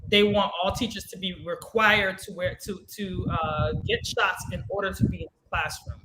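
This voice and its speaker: A man's voice: sits high, steady tone, normal speed. A man asking conversational, unscripted questions as an interviewer. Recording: roomy, echoey, noisy with clicks.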